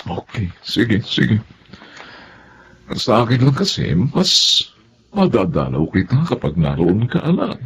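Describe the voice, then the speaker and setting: Low, animated, coaxing Filipino father. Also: slow pace, long pauses, slightly rough, full character voice. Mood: reluctantly yielding. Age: middle-aged. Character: coaxing, doting well-off Filipino father of a student. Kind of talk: Filipino radio drama acting.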